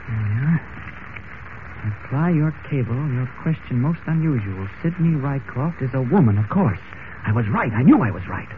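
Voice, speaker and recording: Male actor as earnest reporter, medium pitch, thinking-aloud delivery, 1940s radio acting, noisy old recording. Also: sing-song, normal speed, narrow, tinny audio, natural voice. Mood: intrigued, vindicated. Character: earnest newspaper reporter, adult man, pondering a mystery.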